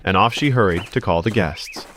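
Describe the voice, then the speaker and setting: A man narrating a children's fairy tale in an expressive, scripted storybook style. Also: lowish voice, normal speed, a little hiss or hum.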